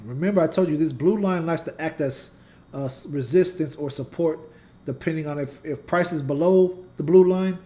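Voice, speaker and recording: A man's voice: on the high side, mellow. A man hosting a trading channel, walking viewers through price charts in a loose, conversational way and talking to the chat, his voice going a little scratchy. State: voice getting scratchy. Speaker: trading channel host and teacher. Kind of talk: casual live chart analysis.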